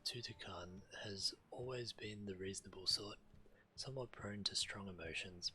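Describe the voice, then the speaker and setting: A man voicing a friendly, concerned walrus-folk villager in a fantasy game, polite and measured. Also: fairly low pitch, sharp tone, low-quality recording. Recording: background game noise.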